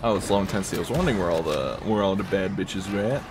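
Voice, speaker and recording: A young man with a scratchy throat rambling casually while he plays a video game. He talks with lots of fillers and casual swearing, in a deep, fairly monotone voice. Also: very fast. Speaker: gamer commenting while playing. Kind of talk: casual gameplay commentary.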